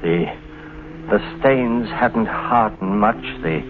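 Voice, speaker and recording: An actor playing a nervous, guilt-ridden husband in a 1950s radio mystery, speaking haltingly with dramatic pauses and repeated phrases. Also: male voice, on the low side. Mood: haunted, brooding. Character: nervous, guilt-ridden husband hiding a murder, narrating his story. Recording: scratchy, tinny 1950s radio recording.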